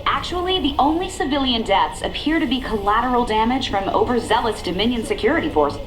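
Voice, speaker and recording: A crisp TV field reporter, played by a woman with a slightly high voice. She speaks quickly and matter-of-factly, and the broadcast sound is echoey. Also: lively intonation, slight background noise, natural voice. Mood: firm.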